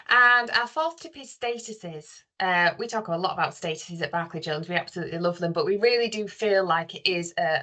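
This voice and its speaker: A woman with a slightly low voice co-presents a business webinar. She explains her points conversationally and informally, in the manner of a consultant training clients. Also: fairly bright tone. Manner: explanatory, informal, uses rhetorical questions.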